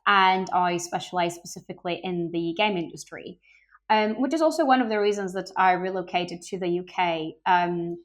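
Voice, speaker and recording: A woman's voice: fairly low pitch, moderate pace. A young woman, born in Bulgaria and raised in Greece, answering interview questions as a game industry recruiter in an open, enthusiastic way with frequent ums.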